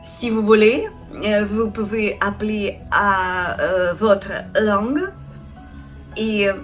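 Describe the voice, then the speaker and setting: A woman from Ukraine with a Ukrainian accent, a non-native speaker introducing her craft channel in a halting way, often searching for words. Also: mid-range voice, little pitch movement, faint music behind, low-quality recording. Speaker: craft channel host, felting artisan. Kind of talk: casual introduction to camera.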